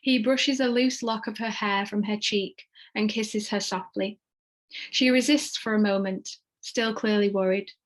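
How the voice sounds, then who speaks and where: Mid-pitched woman narrating a screenplay. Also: steady tone, measured pace. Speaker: narrator reading stage directions. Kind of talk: scripted narration.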